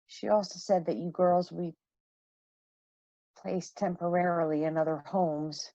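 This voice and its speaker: A woman acting a troubled, apologetic mother in a modern radio play, speaking earnestly and haltingly. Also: fairly low pitch, steady tone, dark tone, no echo, dull audio. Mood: guilty, apologetic.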